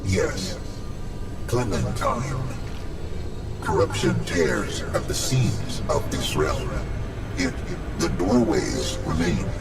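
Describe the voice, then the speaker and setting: A man playing a solemn, coldly logical otherworldly guardian in a video game, speaking in formal, cryptic, portentous pronouncements with a gravelly voice. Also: medium pitch, full character voice. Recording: distant voice under loud music.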